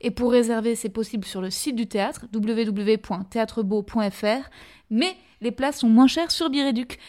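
A woman hosting a podcast, firing off teasing either-or questions and reading a brisk, upbeat sign-off. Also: a little high, a little mellow. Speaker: podcast host. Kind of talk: quickfire questions, then scripted outro.

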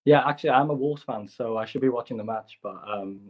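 A man's voice: mid-range voice, fast. Young man on a dull lo-fi line. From Hong Kong. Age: young adult. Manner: polite, plain answers with fillers. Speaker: youth footballer, guest. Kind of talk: casual interview.

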